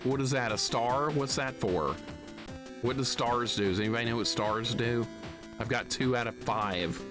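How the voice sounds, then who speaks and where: Male live streamer, mid-pitched, griping sarcastically through casual gameplay chat over prominent game music. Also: normal speed. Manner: grumbling, sarcastic complaining. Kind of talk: casual gaming commentary.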